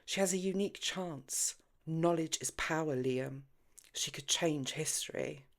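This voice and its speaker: A woman's voice: deep voice, measured pace. Audiobook role: bold, mischievous, free-spirited woman, performed by a female reader, dramatic and insistent. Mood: hushed, intent.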